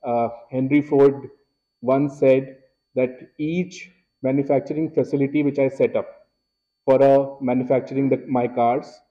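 A middle-aged man with an Indian English accent, giving a keynote speech at a podium in a large wood-paneled hall, measured and full of filler "uh"s. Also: mid-range voice, flat delivery, lo-fi sound. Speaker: keynote speaker. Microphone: podium microphone.

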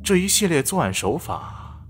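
A man playing a serious police officer giving a formal, measured case briefing in an audio drama. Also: fairly high pitch, sing-song, a little hiss or hum. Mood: grave.

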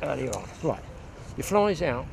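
A man's voice: a little high, sing-song, normal speed, creaky. An older man giving a chatty, hands-on fly fishing lesson, talking as he demonstrates on a lakeside bank with a clip-on mic. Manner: chatty, hands-on, thinking aloud. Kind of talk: outdoor fishing tutorial. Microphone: clip-on mic outdoors, some wind. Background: wind and outdoor ambience.

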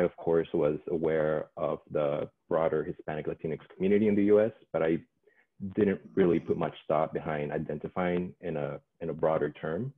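A man with a very deep voice, a Spanish speaker from birth, talks in a measured, thoughtful way on a video-call panel. His audio comes through thin and phone-like. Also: breathy edge. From California.

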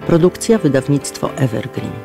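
A man's voice: on the high side, sing-song, normal speed, vocal fry. A man reading scripted closing credits as an announcer. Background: guitar music.

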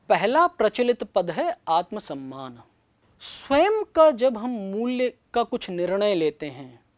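A middle-aged man giving a scripted classroom lecture in a studio on a lapel mic, measured and explanatory. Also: high-pitched. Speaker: teacher. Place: studio with backdrop.

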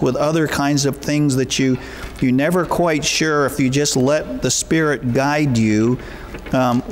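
A man in his fifties preaching informally as a pastor in a measured, gently encouraging way. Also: medium pitch, a little hiss or hum. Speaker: pastor leading a church service. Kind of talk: informal sermon and prayer. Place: church sanctuary, at a wooden pulpit.

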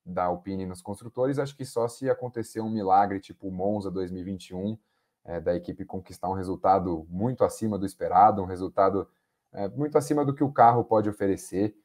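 A young Brazilian man speaks in a lowish, mellow voice. He weighs his analysis slowly, with frequent hesitant fillers, over a video call. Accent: Brazilian Portuguese. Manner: measured, hesitant, many fillers. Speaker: podcast panelist. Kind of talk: casual analytical chat.

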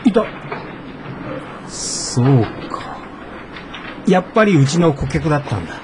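An actor playing a flustered, grumbling office worker in a naturalistic radio drama. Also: male voice, on the high side, very animated, a little slow, background noise. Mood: puzzled, downbeat. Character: flustered, grumbling adult male office worker, once class leader.

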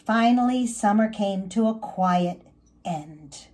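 A woman reading a children's story aloud as narrator, in an expressive storybook style. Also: mid-range voice, slow pace.

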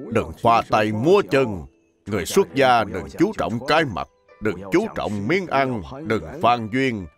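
Casting: humble old monk for a cartoon , played by a man, lively intonation, patient plain-spoken teaching, music underneath. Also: medium pitch. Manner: didactic, plain, repeats instructions simply. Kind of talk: dubbed animated religious biography.

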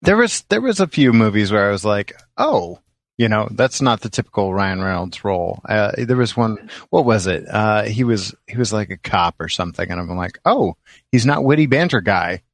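A man's voice: fairly low pitch, animated, moderate pace, dry recording. A man podcast co-host chatting casually about films. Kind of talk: casual chat about movies.

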